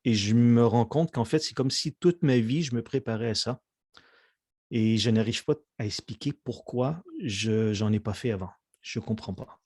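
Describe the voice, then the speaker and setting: A man's voice: mid-range voice, normal speed, darkish tone, dry recording. Hesitant man, dry sound. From Quebec, Canada. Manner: hesitant, many fillers and restarts. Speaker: musician guest. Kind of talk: casual interview chat.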